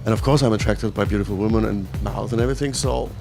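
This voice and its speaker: A man who grew up in Germany, a painter speaking in a casual interview, halting and searching for words, often checking with a quick "right?". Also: on the low side, gravelly, over music.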